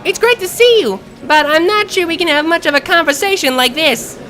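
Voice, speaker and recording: A man voicing a cheerful female pony candy-shop owner in a story, speaking loudly. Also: very high-pitched, brisk, faint music behind, pitched above the performer's own voice. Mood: cheerful, shouting.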